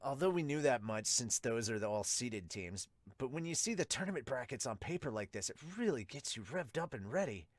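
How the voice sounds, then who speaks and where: A man in a dry, close recording gives casual, excitable commentary as a podcast host. His voice sits at a middling pitch, and he moves between reading aloud and reacting in exclamations. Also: a little fast, hardly any pauses, dry recording.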